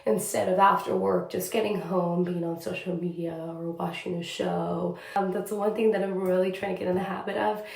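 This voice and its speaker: A young woman who is a news reporter, chatting casually in a vlog, a bit congested and raspy from a cold, with many filler words. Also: lowish voice, fairly even intonation, normal speed, hardly any pauses, darkish tone. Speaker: TV news reporter vlogging her day. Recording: echoey room.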